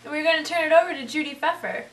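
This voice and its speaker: A woman's voice: high voice, very fast. A woman playing a perky 1920s radio newscaster, reading scripted bulletins with period slang and occasional slips. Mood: playful, brisk. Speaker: news anchor. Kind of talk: scripted 1920s news broadcast. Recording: echoey room, distant mic, background noise.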